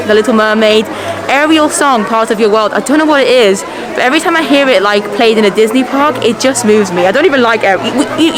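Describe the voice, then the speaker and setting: A woman from Switzerland vlogging casually to a handheld camera, chatty and gushing. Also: on the high side. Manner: chatty, gushing, frequent laughter. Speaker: theme park vlogger. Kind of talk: casual vlog chat to camera. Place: busy theme park with music and crowds. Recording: loud park music and crowd noise behind.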